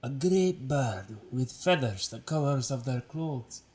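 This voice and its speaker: A man's voice: medium pitch, expressive, slow pace, a little mellow. An actor playing a stern male general on a royal mission, speaking in a clipped, formal way. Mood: terse. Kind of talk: audio drama acting.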